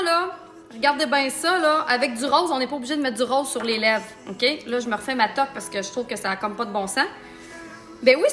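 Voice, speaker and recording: Une femme d'âge mûr à l'accent québécois, qui bavarde en blaguant pendant qu'elle se maquille en direct. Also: female voice, high-pitched, pushed and bright. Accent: Québécois French. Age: middle-aged. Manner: chatty and joking, talks while applying makeup. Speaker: makeup artist and business owner. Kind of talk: live-stream makeup tutorial chat.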